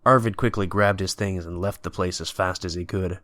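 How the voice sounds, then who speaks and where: A man's voice: low-pitched, fairly even intonation, a little fast, fairly bright tone. A man reading scripted story narration as the narrator of an audio drama.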